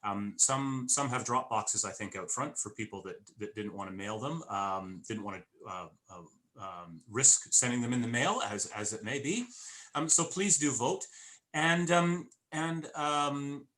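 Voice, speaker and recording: Bright-voiced male interviewer. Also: mid-range voice, rough recording. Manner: halting, many fillers and restarts, friendly. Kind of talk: interview wrap-up.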